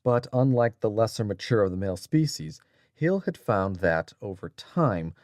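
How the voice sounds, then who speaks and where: A man's voice: lowish voice, very animated, normal speed, a little mellow, no room sound, clean studio sound. A man narrating an audiobook story in a scripted, dramatic style.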